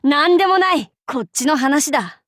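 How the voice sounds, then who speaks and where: A woman's voice: high-pitched, animated, moderate pace, a bit bright, no echo, clean studio sound. A woman voicing an imperious, haughty demon-king warlord in a mobile game, speaking in pompous, archaic lordly Japanese phrasing. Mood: fierce, cocky.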